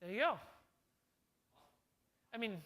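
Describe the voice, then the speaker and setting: A man's voice: sits high, lively intonation, slow pace, pushed and bright, big-room sound. A middle-aged man giving a casual conference keynote as a company tech chief, talking in a loose way with fillers and restarts. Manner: conversational, with frequent fillers and restarts. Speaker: company CTO presenting product strategy. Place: large conference hall.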